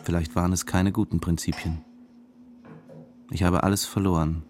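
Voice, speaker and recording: A man's voice: sits low, level tone, moderate pace, natural voice. An actor playing a disillusioned diving instructor who narrates his own downfall in a radio drama. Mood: brooding, resigned. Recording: music under the voice.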